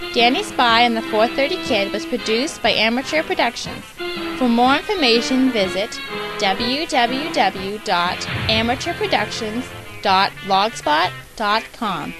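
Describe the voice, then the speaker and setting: Higher-voiced woman reading credits over music. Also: rough recording. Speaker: credits announcer. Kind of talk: scripted closing credits read.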